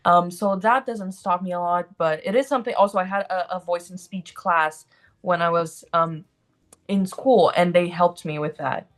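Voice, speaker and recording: A woman's voice: on the low side, steady tone, moderate pace. A young woman in her twenties from Brazil, with a Brazilian accent, chatting as an interview guest and filling her talk with "like" and "um". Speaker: guest, actor. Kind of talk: casual interview chat.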